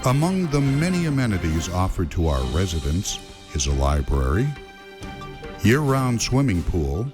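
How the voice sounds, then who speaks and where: A man's voice: sits low, very animated, normal speed. A man reading scripted promotional narration for a tour video, with music behind him. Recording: music under the voice. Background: background music.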